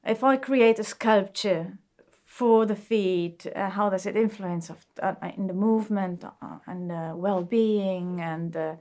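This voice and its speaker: Halting Austrian-born woman shoemaker. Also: medium pitch, darkish tone. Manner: halting, searching for words, many fillers. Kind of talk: interview answers.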